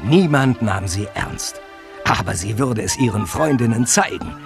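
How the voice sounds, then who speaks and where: A man's voice: lowish voice, animated, a little slow, gravelly, over music. A man reading scripted narration for an audio drama.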